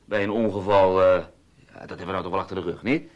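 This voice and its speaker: An actor in a radio drama playing a nervy, suspicious husband with an insistent, chattering manner. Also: male voice, sits low, fairly even intonation, fast, lo-fi sound, natural voice. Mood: uneasy. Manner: chattering, insistent, seeks agreement with tags. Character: nervy, suspicious husband, falsely hearty, quick to accuse.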